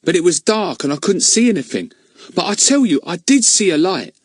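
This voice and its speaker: A man's voice: sits high, big pitch swings, brisk, breathy edge, dry recording, clean studio sound, pitched above the performer's own voice, full character voice. A man reading aloud and voicing a sulky, stubborn boy who protests indignantly. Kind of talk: audiobook character voice.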